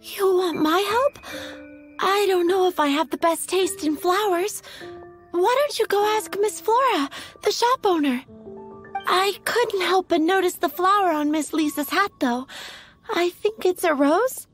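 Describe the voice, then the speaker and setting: A woman's voice: very high-pitched, unhurried, soft background music. A woman playing a sweet, timid young flower shop assistant in a video game, polite and a little hesitant. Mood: helpful, polite.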